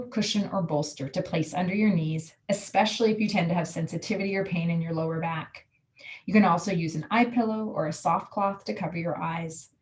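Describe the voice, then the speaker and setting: Calm lady doing a yoga nidra guide, lowish voice, soothing and measured. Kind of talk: guided relaxation meditation.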